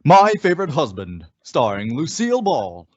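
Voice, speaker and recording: A man's voice: mid-range voice, wildly swooping pitch, moderate pace, a little mellow, no room sound. A man announcing an old-time radio show with scripted, emphatic, showy presentation. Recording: dull, dry lo-fi radio recording.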